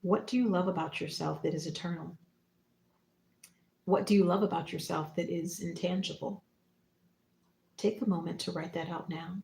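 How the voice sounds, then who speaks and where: A woman hosting a spiritual livestream, talking casually and reflectively in short phrases and gentle prompts with pauses. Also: lowish voice, gravelly. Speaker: psychic medium and spiritual teacher hosting a livestream. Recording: roomy, echoing room sound.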